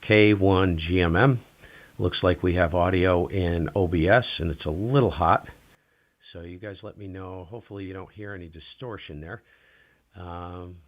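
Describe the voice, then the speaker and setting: A man with a very low voice running a casual live ham radio demo, talking to himself as he adjusts settings. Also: muffled recording. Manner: relaxed, talks to himself while adjusting gear. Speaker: ham radio livestream host.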